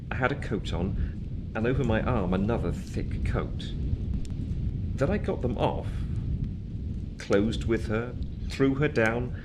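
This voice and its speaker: Low-voiced, grave gentleman narrator. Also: heavy background noise. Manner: solemn, literary, deliberate phrasing. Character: grave gentleman narrator recounting his past. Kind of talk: dramatic scripted narration.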